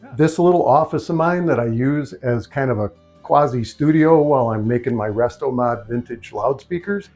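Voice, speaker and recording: A man's voice: mid-range voice, normal speed, a little mellow. Casual American man rambling over music. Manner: informal, rambling, conversational asides. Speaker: video host, DIY speaker builder. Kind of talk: casual, unscripted vlog talk. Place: small home office used as a studio.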